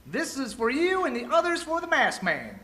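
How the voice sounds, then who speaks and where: A man playing an excitable, crusty old prospector with folksy Old West talk in an amateur radio play. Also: very high-pitched, moderate pace, full character voice. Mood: generous, eager.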